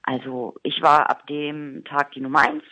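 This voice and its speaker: A woman's voice: low-pitched, steady tone, sharp tone, no room sound. A woman with a Berlin accent, an actress being interviewed on the radio, talking openly and chattily. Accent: Berlin German. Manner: chatty, open, quick to laugh. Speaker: actress guest. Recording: dull lo-fi radio recording.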